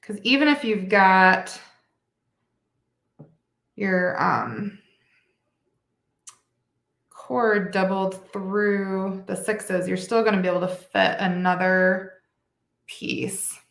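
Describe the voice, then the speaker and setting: A woman's voice: mid-range voice, very slow, gravelly, pauses often, fairly bright tone, roomy sound. A woman from Michigan teaching a live beading tutorial, a bit congested and nasal, chatty, correcting herself and laughing lightly. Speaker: beading instructor.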